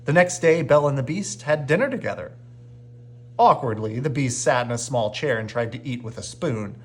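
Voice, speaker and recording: A man reading a children's bedtime story aloud with expressive narration. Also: medium pitch, moderate pace. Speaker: storybook reader.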